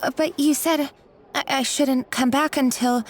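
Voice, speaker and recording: A flustered young kitchen worker in a video game. Her high voice comes slowly, meek and halting, stumbling over words. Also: fairly even intonation. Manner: hesitant, stammering.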